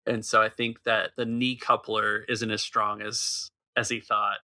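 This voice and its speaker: A man's voice: lowish voice, level tone, measured pace, pushed and bright, dry recording, clean studio sound. A man narrating a tabletop role-playing game as game master, describing scenes and calling for checks.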